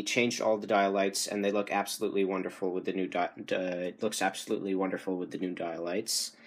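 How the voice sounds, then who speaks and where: A young man giving a casual technical demonstration, halting and correcting himself, recorded indoors on a handheld camera. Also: low-pitched. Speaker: hobbyist radio restorer. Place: small furnished room indoors. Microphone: handheld camera, behind it.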